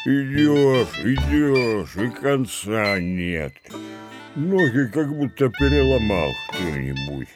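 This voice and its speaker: A drunken village muzhik from a radio play, slurring and drawling very slowly. His grumbling swings widely in pitch, muddled and defiant. Plucked guitar music plays behind him. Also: exaggerated voice. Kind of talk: Russian audio play acting.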